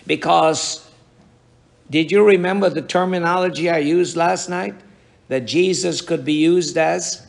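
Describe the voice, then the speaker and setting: A middle-aged man preaching a sermon to his congregation, with a raspy voice and emphatic rhetorical questions. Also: a little high. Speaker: pastor.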